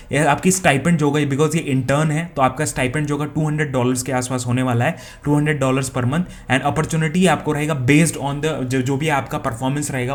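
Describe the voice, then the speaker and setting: Young Indian man explaining job openings. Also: mid-range voice. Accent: Indian English. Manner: conversational, frequent restarts and "theek hai?" check-ins. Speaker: career advice YouTuber. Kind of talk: casual explainer talk, Hindi-English mix.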